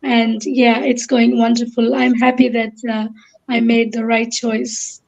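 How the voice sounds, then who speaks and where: Woman, Malayali Indian accent, native Malayalam speaker, fairly high creaky voice, hesitant short phrases, interview guest. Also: level tone, measured pace. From Kerala, India. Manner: hesitant, piecing thoughts together in short phrases. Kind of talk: unscripted personal account. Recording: dull lo-fi online call.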